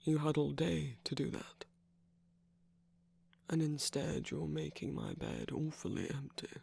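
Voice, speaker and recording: A man playing an affectionate, concerned villain in an intimate ASMR roleplay, speaking softly and deliberately with a husky, breathy, creaky voice. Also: fairly high pitch, sing-song, full character voice. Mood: gently chiding. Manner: soft, deliberate, tender coaxing with whispered phrase endings. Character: affectionate, concerned male villain, slim and sickly.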